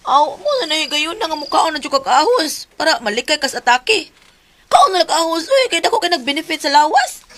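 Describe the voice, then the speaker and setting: A woman's voice: high-pitched, big pitch swings, a little fast, fairly bright tone, dry recording. A woman playing an exasperated, outspoken wife in a comedy drama, colloquial and scolding, with a Cebuano accent. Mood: concerned, nagging.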